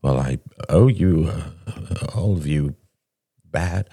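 A man voicing a bitter, ashamed recovering addict, a self-pitying younger brother who talks haltingly in an audiobook story. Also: extremely low pitch, mellow, slightly rough, dry recording, clean studio sound, heavily acted. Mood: mumbling, ashamed. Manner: mumbling, halting, stammering, then bursting out.

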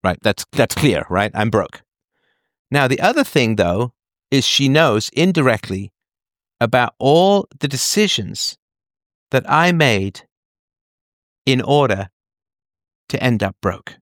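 A man's voice: medium pitch, big pitch swings, slow, long pauses, fairly bright tone, dry recording, clean studio sound. A man in the role of an advice host, talking conversationally and explaining emphatically with analogies, often checking that the listener follows. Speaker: call-in show host giving advice.

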